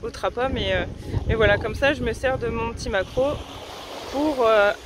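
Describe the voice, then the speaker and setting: A woman's voice: fairly high pitch, normal speed, noisy background. A woman in her thirties from France, talking casually to camera on a beach, hesitant with many fillers and restarts. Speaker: sea angler explaining her rigs. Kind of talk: casual gear explanation to camera. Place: outdoors on a beach by the sea. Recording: music playing underneath.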